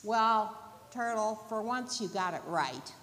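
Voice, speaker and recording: A woman voicing an argumentative, hungry storybook rabbit in an emphatic, drawn-out way. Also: mid-range voice, lively intonation, fairly bright tone. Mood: hungry, eager. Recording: long hall reverb, faint noise.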